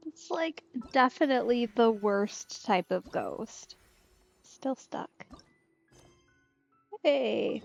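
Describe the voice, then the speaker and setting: A woman's voice: fairly high pitch, animated, very slow, gravelly, long pauses, lo-fi sound, faint music behind. A woman doing casual, wry and understated commentary while streaming a game. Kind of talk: casual let's play commentary.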